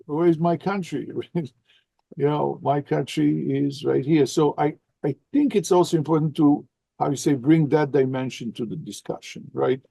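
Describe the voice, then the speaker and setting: A man in an online seminar discussion, talking in an animated way with rhetorical questions and some groping for words. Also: on the high side, moderate pace, vocal fry, dry recording. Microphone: video call.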